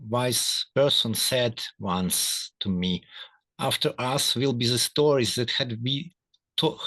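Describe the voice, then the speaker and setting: Bright-voiced man with halting congratulations. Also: medium pitch, no room sound. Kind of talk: short congratulatory remarks. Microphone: video call.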